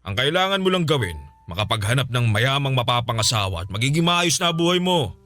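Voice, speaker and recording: A man playing a scornful, domineering, quick-tempered middle-aged uncle in a Filipino radio drama, scolding and sneering. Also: medium pitch, sing-song, sharp tone. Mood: dismissive, scolding.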